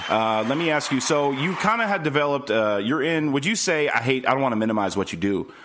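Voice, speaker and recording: Laid-back joking podcast host. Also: male voice, medium pitch, lively intonation, a little fast, background noise. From San Francisco Bay Area. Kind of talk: casual interview.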